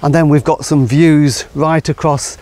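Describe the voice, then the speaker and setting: A middle-aged man giving a relaxed, enthusiastic guided tour of his garden outdoors, explaining as he walks. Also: on the high side, unhurried. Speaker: gardener and show host.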